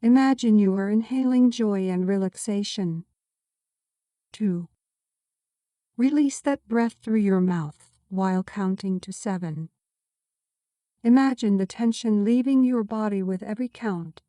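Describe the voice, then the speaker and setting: Slow, mellow woman guiding meditation. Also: medium pitch, no echo, studio quality. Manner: soothing, measured instruction. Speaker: meditation guide. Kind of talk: scripted guided meditation narration.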